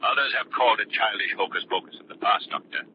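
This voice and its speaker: An actor playing a calm, mocking, menacing vigilante with a measured, ominous delivery in 1930s radio mystery style. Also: male voice, lowish voice, natural voice. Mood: mocking menace. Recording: muffled, lightly noisy 1930s radio recording.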